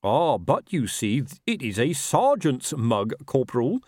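A man's voice: mid-range voice, big pitch swings, measured pace, darkish tone, slightly rough, pitched above the performer's own voice. Man acting a blustering, paranoid old police captain. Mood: petty, irate. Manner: blustering, indignant, snapping, ungrammatical. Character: pompous, paranoid, fat old police captain, newly promoted bully.

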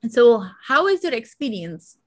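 Interview host, female, Indian accent, fairly high pitch, halting and full of restarts. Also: sing-song. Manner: hesitant, many fillers and restarts. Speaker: podcast host. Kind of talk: conversational interview.

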